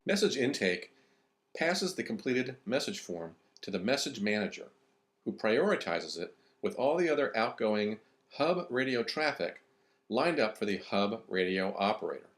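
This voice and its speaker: A man's voice: fairly low pitch, big pitch swings, normal speed, fairly bright tone. A man reading scripted narration for an instructional video.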